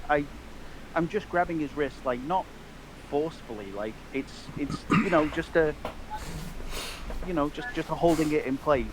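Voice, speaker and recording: A man's voice: a little high, a little hiss or hum, poor audio. A man talking casually as a player in a tabletop roleplaying game. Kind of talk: casual improvised roleplay chat.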